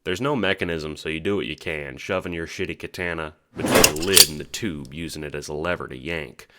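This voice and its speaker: A man's voice: rumbling low, brisk, very noisy. A man reading scripted second-person narration for an audio drama.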